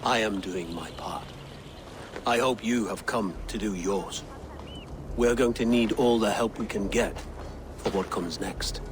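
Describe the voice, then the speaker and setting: An actor playing a cautious, earnest Saxon thane who would be king in a video game cutscene, measured in manner. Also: on the low side, noisy background, lightly acted.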